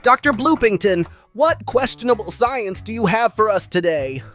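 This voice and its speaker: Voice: mid-range voice, animated, normal speed, fairly bright tone, faint music behind, natural voice. A performer playing the wry, teasing host of a children's puppet comedy show, with scripted sarcastic banter.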